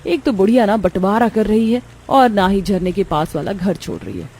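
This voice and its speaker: A woman's voice: medium pitch, moderate pace, darkish tone, a little hiss or hum, lightly acted. Woman as sly, greedy young daughter-in-law. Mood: scheming. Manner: self-serving, complaining.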